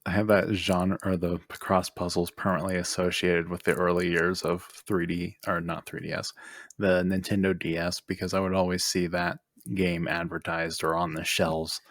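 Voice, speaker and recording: A man's voice: sits low, level tone, moderate pace, dry recording, studio quality. A man chatting casually about games as a podcast co-host.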